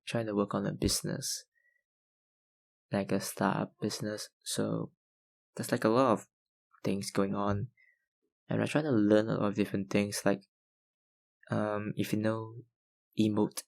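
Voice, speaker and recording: Young male ASMR creator, low-pitched, unhurried, filler-heavy fan Q&A. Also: gravelly. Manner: hesitant, lots of ums and likes, soft laughs. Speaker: ASMR YouTuber answering viewer questions. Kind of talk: casual Q&A chat.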